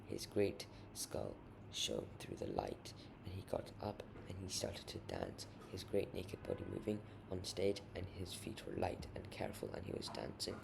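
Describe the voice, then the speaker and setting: A man narrates a short story in a grave, literary way. His voice is deep and heavily creaky, full of gravel. The amateur recording has clearly audible noise behind him. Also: lively intonation, normal speed, hardly any pauses. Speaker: author reading own short story. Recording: noisy amateur home recording.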